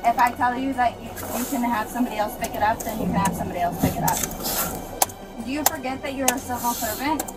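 A woman's voice: fairly high pitch, brisk, loud music behind the voice, rough recording. A young adult woman in a heated confrontation, argumentative and insistent, repeating herself, caught on police cameras. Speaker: arrested suspect. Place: back of police car and just outside at night. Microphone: police car camera and officer's body camera.

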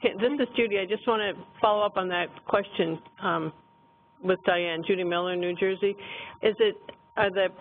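A woman's voice: medium pitch, fairly even intonation, normal speed. A woman from the United States calling into a webinar as a participant, speaking informally. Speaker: webinar participant asking questions. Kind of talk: informal follow-up question. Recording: tinny phone line, faint noise.

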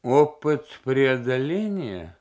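A man voicing a thoughtful, kindly diplomat musing in a measured, reflective way for a radio novel reading. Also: mid-range voice, very animated, a little mellow.

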